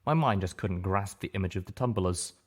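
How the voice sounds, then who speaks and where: A man with a fairly low voice reads a story aloud. He narrates in the first person, in a dramatic audiobook style. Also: a little fast. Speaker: audiobook reader.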